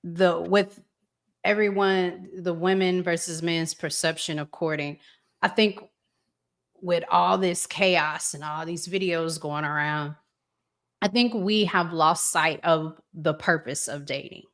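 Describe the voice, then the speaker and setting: Slow, measured woman hosting a relationship chat. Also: lowish voice, steady tone, fairly bright tone. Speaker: podcast host. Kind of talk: casual relationship talk show chat.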